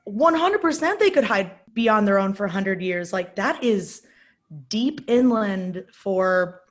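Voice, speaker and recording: A woman chatting on a podcast, adding quick joking asides. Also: medium pitch, lively intonation. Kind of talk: casual podcast chat.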